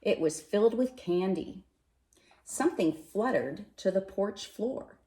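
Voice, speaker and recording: A woman reading a children's picture book aloud in an expressive, animated way, with dramatic pauses and emphasis. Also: mid-range voice. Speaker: storybook reader. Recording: roomy, echoey sound.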